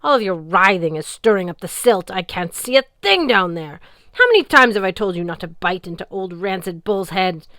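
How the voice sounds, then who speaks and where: A woman voicing an imperious, scornful ancient sea goddess with a deep, booming voice and a haughty, commanding manner. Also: measured pace, fairly bright tone, smooth, no echo, lightly acted.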